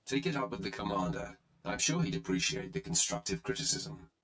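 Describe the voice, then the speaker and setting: A haughty, echoing dark warrior. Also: male voice, fairly low pitch, big pitch swings, a little fast. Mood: curt, commanding. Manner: imperious, curt. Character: haughty, menacing male dark warrior, cold and domineering. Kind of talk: video game hero-villain acting. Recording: roomy echo on the voice.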